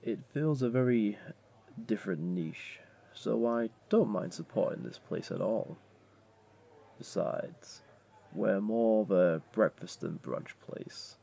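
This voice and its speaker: Man voicing a deep, gravelly, unhurried jaguar beastling. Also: exaggerated voice. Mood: reassuring, friendly. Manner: gentle, unhurried, coaxing. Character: flirty, comforting male jaguar beastling, patient restaurant owner. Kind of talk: intimate audio roleplay, addressing the listener.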